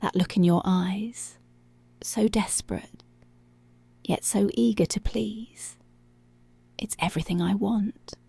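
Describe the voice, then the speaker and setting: Woman playing a breathy, slow, dominant policewoman. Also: medium pitch, fairly even intonation, warm tone, no room sound, exaggerated voice. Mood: controlling, possessive. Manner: breathy, slow, deliberate, often whispering. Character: dominant, commanding, seductive policewoman. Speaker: ASMR voice actor. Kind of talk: ASMR roleplay, intimate scripted monologue.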